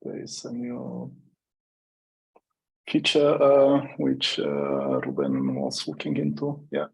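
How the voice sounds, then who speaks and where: Very creaky, husky man, slow-paced. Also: medium pitch, animated, rough recording. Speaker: working group member giving a status update. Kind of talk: casual technical status update. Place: online conference call.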